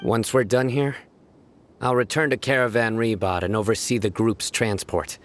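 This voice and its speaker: An actor playing a stern, authoritative general in a video game, speaking in curt, measured phrases. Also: male voice, medium pitch, fairly even intonation, slight background noise, natural voice. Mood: calm, serious. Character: stern, commanding young male law-enforcement general.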